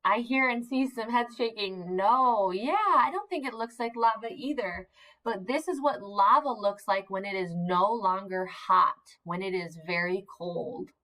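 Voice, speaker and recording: Female early-years teacher, unhurried pace, medium pitch, expressive and encouraging interactive storytime delivery. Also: poor audio. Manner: encouraging, asks questions and pauses for answers; expressive, simple child-directed delivery with emphasized words. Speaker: teacher of young children. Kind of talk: interactive read-aloud lesson for young children.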